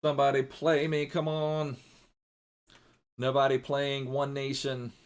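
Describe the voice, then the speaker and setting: A man's voice: medium pitch, monotone, a little slow, clean tone. A man streaming a football video game live, chatting casually in a rambling way and thanking viewers as he plays.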